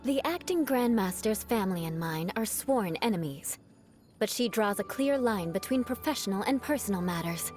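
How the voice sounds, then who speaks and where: A woman acts out a young female knight of noble birth from a video game, proud and formal in her speech. She carries herself with theatrical haughtiness, vengeful on the surface and soft-hearted underneath. Her pitch sits in a middle range for a woman, with music playing faintly behind her. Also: lively intonation, moderate pace, natural voice. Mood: composed, proud. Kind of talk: video game character voice lines. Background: background music.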